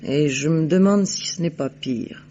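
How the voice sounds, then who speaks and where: An actress playing a haughty, shrewd, mercenary society woman in a vintage French radio drama, with poised, disdainful, deliberate delivery. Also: sits low, warm tone. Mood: cold, defiant. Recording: dull, noisy old radio recording.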